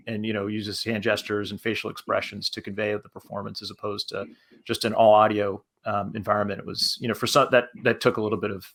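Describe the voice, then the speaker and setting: A man speaking as a podcast creator in a panel discussion, with a halting, thoughtful delivery that often repeats words. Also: on the low side, vocal fry, a bit bright. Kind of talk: panel discussion, unscripted talk.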